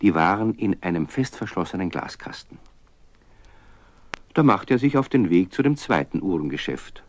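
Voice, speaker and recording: A man's voice: low-pitched, big pitch swings, normal speed. A man narrating a scripted children's story, talking to his young listeners as a storyteller. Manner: storyteller addressing young listeners with teasing questions.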